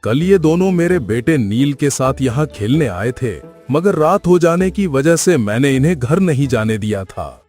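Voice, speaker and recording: Man voicing a kind cartoon billy goat, mellow tone, lively intonation, polite, earnest. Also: medium pitch, moderate pace, few pauses, soft background music, natural voice. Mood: kind, courteous. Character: kind, courteous widowed father billy goat. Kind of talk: Hindi cartoon story acting.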